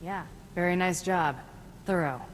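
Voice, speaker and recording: An actress playing a wry, tough bounty hunter in a video game, terse and sardonic. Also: female voice, lowish voice, a little slow, slight background noise, close to an everyday voice. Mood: dry. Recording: prominent background music under the voice.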